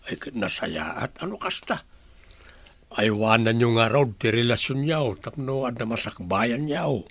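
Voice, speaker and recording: Casting: advising older dad, Ilocano accent, creaky voice with expressive swings, radio drama. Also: male voice, mid-range voice, unhurried, dry recording, exaggerated voice. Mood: fatherly. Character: caring, advising older father.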